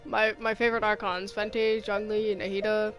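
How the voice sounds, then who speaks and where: A woman's voice: mid-range voice, flat delivery, normal speed. A woman doing casual gameplay commentary, rambling and thinking aloud in a playful, exclaiming way. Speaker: game streamer. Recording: game music behind the voice. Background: video game music.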